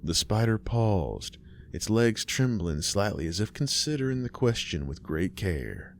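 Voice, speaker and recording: Deep-voiced man narrating horror. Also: animated, slight background noise. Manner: measured, ominous storytelling. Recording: music bed behind.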